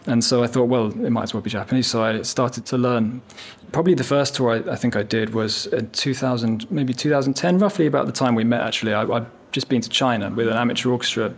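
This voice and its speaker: A man in his thirties speaks in an ordinary mid-range voice as a guest in a relaxed interview. He tells long anecdotes, circles back to his point as he goes, and pokes gentle fun at himself. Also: medium pitch, fast, few pauses. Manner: chatty, anecdotal, self-deprecating. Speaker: viola player. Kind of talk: casual interview chat.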